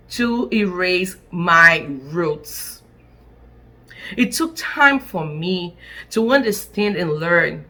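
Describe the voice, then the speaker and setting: A woman delivers a heartfelt personal statement in English, which is not her first language. She speaks very slowly, setting each short phrase apart with deliberate emphasis. Her voice is bright and forceful. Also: medium pitch. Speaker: attorney. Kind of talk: impassioned spoken personal statement.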